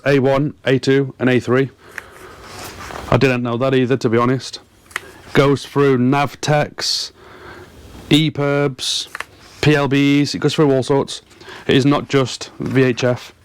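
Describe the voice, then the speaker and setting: A man with a mid-pitched voice talks to his viewers in a casual, explanatory vlog style. He is earnest and emphatic. He often repeats a word several times to drive his point home. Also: slight background noise. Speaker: fishing and boating vlogger.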